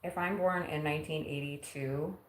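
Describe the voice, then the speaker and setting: A woman's voice: sits low, steady tone, normal speed, echoey room, rough recording. A woman in her forties chatting casually on a live stream at home, teasing herself and laughing often. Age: 40s. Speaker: vlogger. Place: home kitchen.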